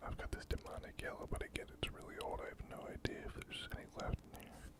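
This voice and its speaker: The voice is a soft, unvoiced whisper, breathy and close to the microphone. An ASMR host talks through miniature painting step by step. The delivery is gentle and unhurried, as if half to oneself and half to the viewer. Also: extremely high pitch.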